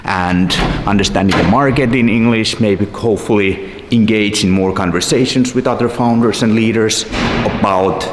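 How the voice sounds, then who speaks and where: Low-voiced Finnish man vlogging to camera. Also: background noise. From Finland. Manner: earnest, thinking aloud with pauses and restarts. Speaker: agency founder and vlogger. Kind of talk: solo vlog talk to camera.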